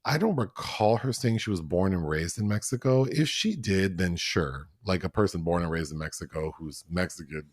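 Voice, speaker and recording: A man with a low voice and dry, close sound, chatting casually as a podcast co-host. He talks loosely, with lots of fillers and restarts. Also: lively intonation, normal speed, hardly any pauses, breathy edge, no echo. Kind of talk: casual film review chat.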